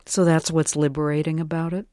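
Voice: mid-range voice, flat delivery, brisk, a little mellow, dry recording, clean studio sound. An interviewer speaking in a thoughtful, attentive, conversational radio style.

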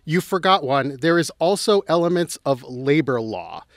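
A man's voice: on the high side, animated, moderate pace, dry recording. Playful man podcast host, dry studio. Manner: playful, joking. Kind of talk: casual chat.

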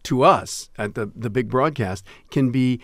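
A man's voice: medium pitch, unhurried, no room sound, clean studio sound. An enthusiastic man in his sixties chatting and explaining as an interview guest, acting out little quotes as he goes. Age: 60s. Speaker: radio show host and guest. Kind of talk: animated conversational interview. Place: dry studio.

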